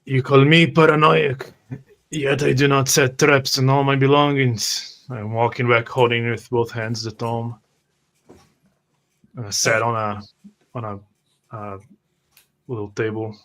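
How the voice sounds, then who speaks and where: Male roleplay player, medium pitch, halting and repetitive as he narrates his moves. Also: little pitch movement, a bit bright. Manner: halting, repeats words. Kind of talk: in-character roleplay, narrating own actions.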